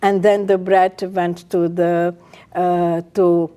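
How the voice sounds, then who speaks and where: An older woman from the Czech Republic with a Czech accent, a guest chef speaking in a casual interview, halting and searching for words. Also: on the low side, level tone, mellow, smooth.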